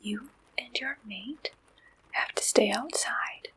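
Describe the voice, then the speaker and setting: A woman whispering an ASMR roleplay as an attentive, businesslike dating service agent, asking questions softly and deliberately in a breathy voice. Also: a little high, full character voice, rough recording. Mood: gentle, attentive.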